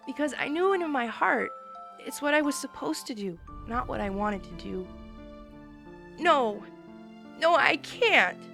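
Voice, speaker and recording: A woman's voice: sits high, animated, moderate pace, creaky. Earnest woman reading a story over music. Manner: emotional, earnest narration. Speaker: reader. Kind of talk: first-person dramatic story reading.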